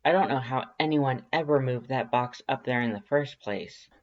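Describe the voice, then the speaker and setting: A reader voicing a friendly, slightly awkward homeowner, casual, hesitant and gently teasing, in a fan fiction audiobook. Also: mid-range voice, moderate pace, highs cut off, natural voice.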